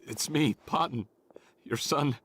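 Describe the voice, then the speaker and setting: An actor playing a desperate, distraught son in a video game, pleading in a husky voice. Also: male voice, mid-range voice, slow, fairly bright tone. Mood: shocked, pleading.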